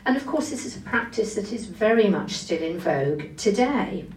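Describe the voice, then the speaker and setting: A woman's voice: medium pitch, expressive, normal speed, darkish tone. Woman preaching in an echoey room, distantly miked. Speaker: preacher giving a Bible talk. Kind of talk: expository sermon on scripture.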